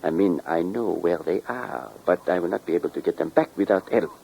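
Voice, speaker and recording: A sly French crook in a vintage radio drama. His voice is low and mellow with a creak in it. He speaks formal, broken English in a deliberate, silky, menacing way. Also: a little hiss or hum, poor audio. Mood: smooth, scheming. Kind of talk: 1940s radio crime drama acting. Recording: vintage 1940s radio recording.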